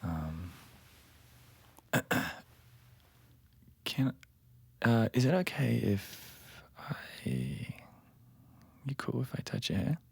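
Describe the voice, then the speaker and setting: A man's voice: mid-range voice, very animated, very slow, gravelly, pauses often, slightly rough, dry recording, heavily acted. A man playing an easygoing, slightly awkward young lover, speaking casually and tentatively in an intimate audio drama.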